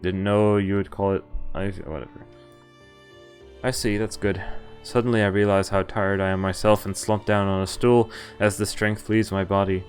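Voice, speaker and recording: A man's voice: lowish voice, little pitch movement, normal speed, a little mellow, faint music behind. A man, a native Dutch speaker, streaming a visual novel, reading it aloud and commenting casually as he goes, sometimes stumbling over words. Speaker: game streamer.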